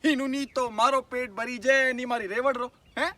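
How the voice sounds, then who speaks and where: A man's voice: very high voice, steady tone, normal speed, pushed and bright. A man with a Rajasthani accent playing a shrewd, outspoken village shepherd in an emphatic theatrical monologue. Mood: teasing, dismissive. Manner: rustic, declamatory, emphatic. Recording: distant microphone.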